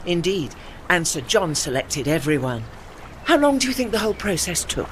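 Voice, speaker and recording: An actor voicing a proud, ageing warship in an audio play, with formal, dry, witty and faintly pompous diction. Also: male voice, high voice, very animated, moderate pace, a bit bright, a little hiss or hum. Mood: proud, instructive.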